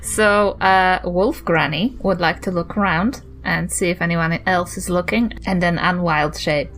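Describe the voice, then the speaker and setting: A woman's voice: fairly low pitch, steady tone, measured pace, fairly bright tone. A woman chatting casually as a player in a tabletop role-playing game.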